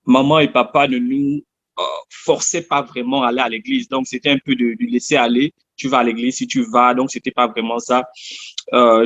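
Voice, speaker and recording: A man with a Gabonese accent shares a personal testimony in conversation. His voice sits at a middle pitch and he often restates a phrase to stress it. The sound is dry and somewhat dull, like lo-fi online audio. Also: no echo. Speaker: interview guest giving testimony.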